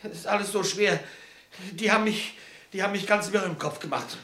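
Man acting cornered young suspect, radio drama, high and bright, pleading, echoey studio. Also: a little fast. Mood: worn down. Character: cornered young man accused of informing, defiant then broken. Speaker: radio play actor. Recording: roomy studio echo.

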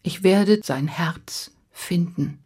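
A woman's voice: fairly low pitch, slow pace, mellow, breathy edge. A woman voicing a young male prince who sounds earnest and helpless, his voice raised and pleading.

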